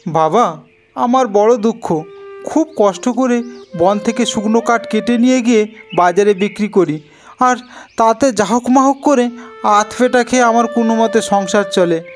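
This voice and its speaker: Male actor as poor woodcutter, high voice, humble, plaintive, cartoon folk tale, music behind. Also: unhurried. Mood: pleading. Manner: plaintive, respectful. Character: poor, sorrowful, pleading woodcutter, humble husband.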